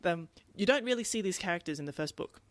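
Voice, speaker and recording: A woman co-hosting a podcast, chatting casually about a book in an animated, rambling way and acting out reactions. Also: fairly low pitch, fast, dry recording. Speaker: podcast co-host.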